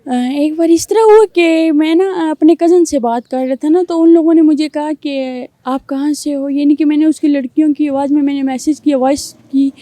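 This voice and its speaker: A young man answering interview questions in a girlish falsetto, rambling with fillers. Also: fairly even intonation, hardly any pauses, warm tone, dry recording. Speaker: guest.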